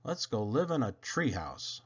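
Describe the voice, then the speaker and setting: Male storyteller for children's verse: unhurried, very lively pitch swings, theatrical phrasing, slightly dull lo-fi recording. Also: slightly rough. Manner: animated, theatrical, drawn-out phrasing. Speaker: children's poetry reader. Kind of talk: expressive read-aloud of children's verse.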